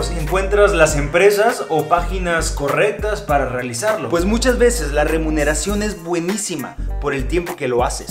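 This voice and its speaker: A young man talking in a fairly high voice, joking and sarcastic as he chats to his viewers. Faint music plays under his voice in a small bedroom. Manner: sarcastic, playful, animated. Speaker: YouTuber. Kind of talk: casual vlog narration. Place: small bedroom with gaming chair.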